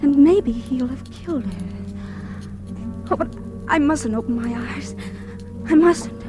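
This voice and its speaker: An actress playing a fragile, panicked young woman in an old radio horror play, breathless, halting and repeating herself. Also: high voice, expressive. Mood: terrified. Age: young adult. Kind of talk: 1940s radio horror acting, monologue. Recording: old radio drama with music underneath.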